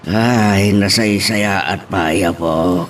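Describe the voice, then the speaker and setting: An actor playing an older, gently teasing and pious grandfather who encourages the young in a serial drama. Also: low-pitched, drawn-out words. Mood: amused, surprised. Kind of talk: radio-style serial drama acting. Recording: distant mic with faint noise.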